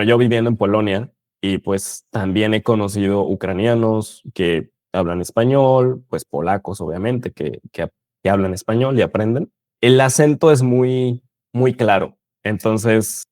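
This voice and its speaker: Low-voiced male interviewer, dry sound. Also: a little mellow, dry recording. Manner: curious, probing questions. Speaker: interviewer, host. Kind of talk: casual conversational interview.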